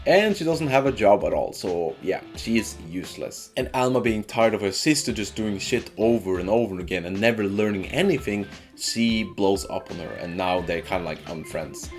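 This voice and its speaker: Guy doing casual game review ranking, slightly low voice, really animated intonation, informal and emphatic. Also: normal speed, hardly any pauses. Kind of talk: casual opinion commentary, ranking characters. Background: background music.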